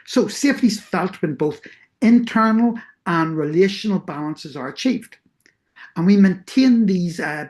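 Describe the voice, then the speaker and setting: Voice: mid-range voice, a little mellow. Earnest Northern Irish presenter. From Northern Ireland. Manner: earnest, explanatory, self-correcting. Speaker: therapist presenting doctoral research. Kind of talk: academic workshop presentation.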